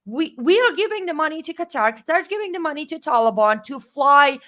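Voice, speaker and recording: A woman with a Middle Eastern accent co-hosting a live stream, talking in a lively, emphatic way. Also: high-pitched, fast. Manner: animated, talkative, emphatic. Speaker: co-host. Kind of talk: casual live-stream commentary.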